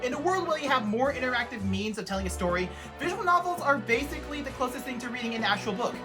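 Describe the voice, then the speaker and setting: A woman's voice: high voice, animated, fast talker. A woman reads a scripted video essay about games in a playful, informal way. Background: background music.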